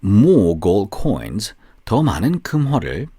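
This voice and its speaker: Very slow, animated male storybook narrator. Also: lowish voice, slightly rough, dry recording, studio quality. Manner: deliberate, phrase-by-phrase, storytelling delivery. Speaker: audiobook narrator. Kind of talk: scripted bilingual fairy-tale narration for language learners.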